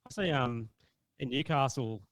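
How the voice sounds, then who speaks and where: A man's voice: medium pitch, moderate pace, fairly bright tone, no room sound. A man in his late twenties with an Australian accent, hosting a podcast in a laid-back, blokey manner. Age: 20s. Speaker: podcast host. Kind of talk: casual podcast interview.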